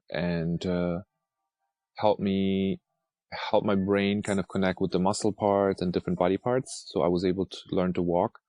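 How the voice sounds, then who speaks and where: A man's voice: low-pitched, a little mellow, no echo. A man from Germany, an artist guest, talking hesitantly with many restarts. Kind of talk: casual interview talk.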